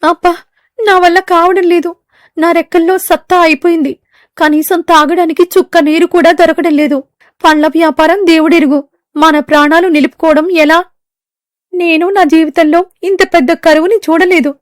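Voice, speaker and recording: A woman voices a worn-out, starving crow parent in a cartoon fable, weary and lamenting, with a high voice. The recording is close and dry. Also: steady tone, normal speed, no echo. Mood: despairing. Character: exhausted hungry crow parent.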